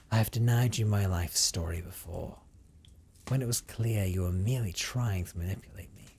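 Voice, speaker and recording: Guy doing a old vampire captor, low hushed voice, teasing n dominant, audio roleplay, some noise behind it. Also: vocal fry, full character voice. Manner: slow, hushed, theatrical, condescending endearments, often dropping to a whisper. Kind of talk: intimate scripted audio roleplay monologue.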